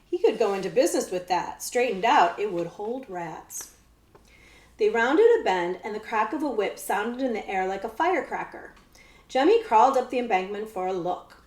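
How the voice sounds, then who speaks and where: A woman's voice: mid-range voice, expressive, moderate pace, a little mellow. Woman reading aloud in an echoey room. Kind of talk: children's storytelling with casual explanations.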